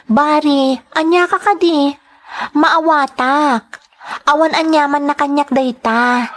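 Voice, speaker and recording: A woman's voice: high-pitched, fairly even intonation, slow, a bit bright. A woman with a Filipino Ilocano accent playing a devoted, supportive young sweetheart in a sentimental drama. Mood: reassuring. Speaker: drama actress. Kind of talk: sentimental radio-style drama acting.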